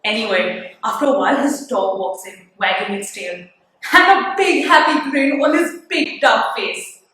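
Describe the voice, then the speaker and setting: A young woman acting out a chatty, indignant young woman telling an anecdote in a theatrical monologue, gossipy and animated. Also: fairly high pitch, normal speed. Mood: chatty, annoyed. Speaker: student actor. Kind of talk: theatrical monologue, conversational storytelling. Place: studio, live-streamed stage performance. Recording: very echoey studio livestream, distant mic.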